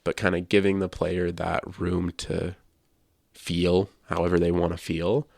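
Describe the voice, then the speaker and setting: A man's voice: low voice, level tone, unhurried, gravelly, a little mellow, no echo, clean studio sound. A man hosting a podcast, sharing his opinions in casual discussion. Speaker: podcast host.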